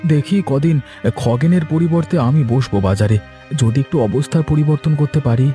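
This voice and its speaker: A man's voice: a little high, fairly quick, warm tone, soft background music, close to an everyday voice. A man voicing a humble, trusting village fish seller in a fairy-tale cartoon, speaking respectfully and earnestly. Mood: worried.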